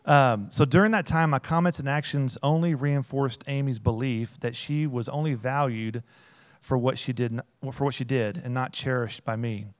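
A man reading a personal testimony to a group, plain-spoken and loud. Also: medium pitch, steady tone, moderate pace. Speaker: speaker sharing a testimony.

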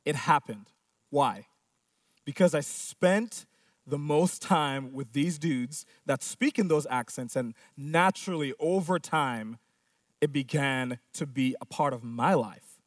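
A man's voice: fairly high pitch, slow, no echo, studio quality, far from the mic. A pastor preaching a sermon, driving his points home with repeated phrases and rhetorical questions.

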